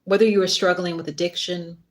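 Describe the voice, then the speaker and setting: A woman's voice: fairly low pitch, level tone, quick pace. A middle-aged woman chatting casually on a live stream, rambling a little and laughing at herself as she reads from her book. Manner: rambling, chatty, self-deprecating. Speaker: author and spiritual worker hosting a live stream.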